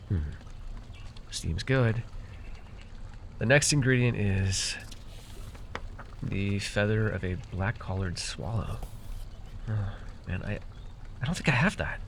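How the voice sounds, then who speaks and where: A man's voice: lowish voice, slow, long pauses, a bit bright, a little hiss or hum. A man playing a chatty, wry and friendly fae potion brewer in a fantasy audio roleplay, talking to the listener with hesitant asides. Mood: chatty, focused.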